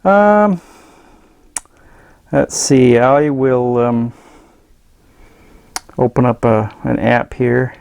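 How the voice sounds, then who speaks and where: A man walks through a product in an off-the-cuff, casual review. His voice is mid-pitched and his delivery halting and meandering, feeling his way along. The sound is quite dry, with little room in it. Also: vocal fry, a little mellow, clear, resonant tone, no echo. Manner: hesitant, halting, rambling. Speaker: gadget reviewer. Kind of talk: casual unscripted product demonstration.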